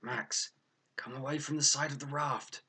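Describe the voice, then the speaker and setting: Man acting young boy explorer, storybook adventure, bold, earnest, raised pitch, bright, urgent short calls. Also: fairly even intonation, moderate pace, breathy edge. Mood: tense, urgent.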